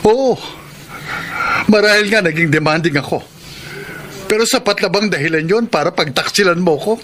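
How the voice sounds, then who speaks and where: A betrayed Filipino husband in a radio drama, his voice on the high side. He sounds wounded, bitter and accusing, with faint noise behind. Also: normal speed, fairly bright tone. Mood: bitter anger. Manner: accusing, melodramatic.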